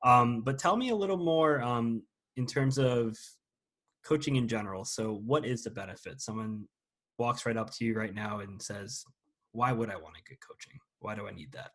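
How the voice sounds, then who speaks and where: A man's voice: mid-range voice, normal speed, a bit bright. Upbeat young male podcast host. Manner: upbeat and chatty. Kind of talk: casual interview chat.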